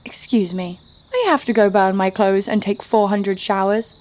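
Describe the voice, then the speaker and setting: A woman's voice: medium pitch, fairly even intonation, normal speed, a little mellow, lightly acted. A woman playing a brash, sardonic young adventurer, blunt and sarcastic, narrating her exploits in an audio drama. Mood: disgusted, defiant.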